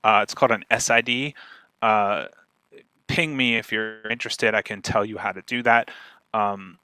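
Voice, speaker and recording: A man's voice: lowish voice, fairly even intonation, moderate pace, bright, forceful tone, clear, resonant tone, dry recording. A man presenting informally online as a guest speaker, explaining in an emphatic, conversational way. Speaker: guest speaker giving a marketing talk.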